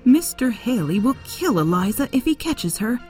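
A woman's voice: on the high side, big pitch swings, normal speed, a little mellow, faint music behind, voice pushed up. Give me a woman playing a kind, tearful farm lady from a storybook, tender and pleading.

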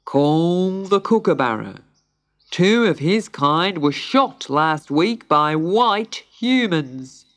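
A woman voicing a solemn, pompous male pelican in a children's story, with formal, drawn-out pronouncements. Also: lowish voice, very animated. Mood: solemn, stern.

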